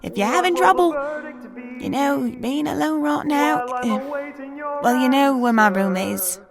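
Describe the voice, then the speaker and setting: A woman's voice: high voice, unhurried, creaky, loud music behind the voice. A woman playing a caring but firm pony maintenance worker in an audio drama, coaxing and informal. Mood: gentle, caring.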